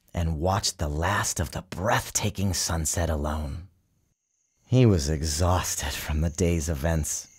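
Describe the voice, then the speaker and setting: A man giving a measured, theatrical storybook narration in an expressive, dramatic style. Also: sits low, breathy edge. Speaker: author reading his book aloud. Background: music behind the narration.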